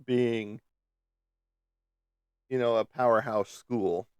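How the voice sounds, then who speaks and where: A man's voice: mid-range voice, steady tone, slow pace, a little mellow, clean tone, no echo. A man hosting a casual chat who teases a viewer about sports and chuckles often as he talks. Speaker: host. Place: at a desk indoors.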